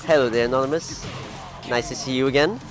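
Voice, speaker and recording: A man's voice: medium pitch, fast. A man casually commentating a fighting game tournament, chatty and rambling, who laughs at his own clumsy phrasing; English is not his first language. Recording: music playing loudly behind.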